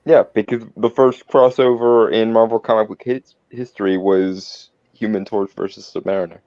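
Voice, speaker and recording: A man's voice: fairly low pitch, steady tone, normal speed, dark tone, no room sound. A man chatting casually as a player in a tabletop roleplay game, on dull audio.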